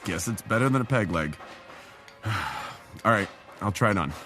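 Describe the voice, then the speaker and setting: An actor playing a wry, plain-spoken companion in an audio drama, talking in a colloquial way. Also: male voice, lowish voice, expressive, a little slow, pushed and bright, faint music behind. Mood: wry, sceptical.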